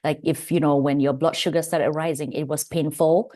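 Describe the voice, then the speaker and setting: A woman's voice: deep voice, steady tone, moderate pace, dark tone. A woman from Cameroon with a Cameroonian accent, giving a chatty, personal webinar presentation over a video call. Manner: chatty, personal, asides to the audience. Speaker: guest presenter, pharmacist.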